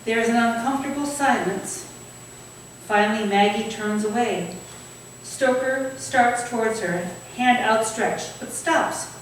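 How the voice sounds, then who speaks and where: A woman's voice: a little high, unhurried. A woman reading scripted stage directions aloud as narrator at a staged play reading. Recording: echoey hall from far off, faint noise.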